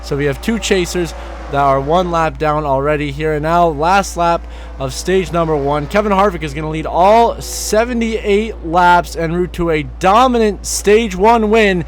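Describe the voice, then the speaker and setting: A young man with a high voice gone hoarse calls a sim race play-by-play, lifting into excited shouts when cars crash or swap the lead. A faint hum sits behind him. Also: a little slow. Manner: excited rising calls during crashes and passes. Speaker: sim racing commentator.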